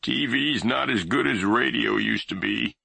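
A man's voice: medium pitch, unhurried, gravelly, bright, forceful tone, slightly rough, no echo, voice pushed up, exaggerated voice. A man voicing a rambling, boastful old grandfather in a storybook reading. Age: over 60.

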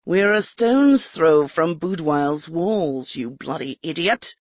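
A woman voicing a cold, menacing male duke who wields sorcery, speaking slowly in a low, clipped, threatening way. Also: lively intonation, darkish tone, dry recording, heavily acted.

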